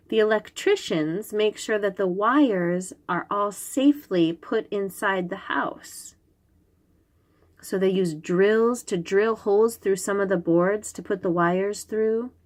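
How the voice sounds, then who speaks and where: Slow, animated woman reading to children. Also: medium pitch. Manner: instructive, questioning, enunciates key words for small children. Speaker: host reading picture books aloud to young children. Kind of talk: read-aloud storytime with simple explanations.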